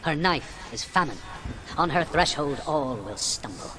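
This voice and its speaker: A man playing a grave storyteller of old myths in a video game, measured and ominous, phrases trailing into hush. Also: on the high side, lively intonation. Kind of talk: solemn scripted mythic storytelling in a game. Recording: loud ambient noise behind the voice.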